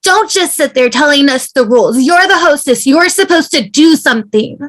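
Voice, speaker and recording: A woman's voice: high-pitched, expressive, normal speed, a bit bright. A woman acting a frightened, accusing passenger in an amateur table read, frantic, demanding and repeating herself. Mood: alarmed, snappish.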